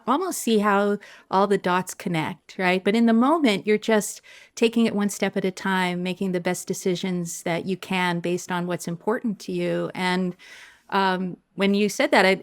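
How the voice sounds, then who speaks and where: A middle-aged woman hosting an interview podcast, who speaks reflectively and often pauses to search for words. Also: mid-range voice, fairly even intonation. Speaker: podcast host.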